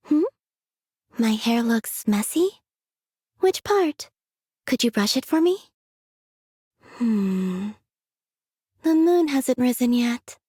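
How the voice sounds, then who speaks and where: Otherworldly young moon maiden, game character, airy and breathy, delicate, serene, gentle, close dry recording. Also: female voice, high voice, dry recording, heavily acted. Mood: drowsy, gentle. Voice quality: soft, breathy. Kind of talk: scripted video game character voice lines.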